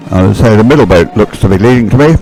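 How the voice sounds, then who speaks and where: A man doing live sports commentary on a race, describing things in a halting way and correcting himself as he goes. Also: on the low side, mellow, soft background music, rough recording.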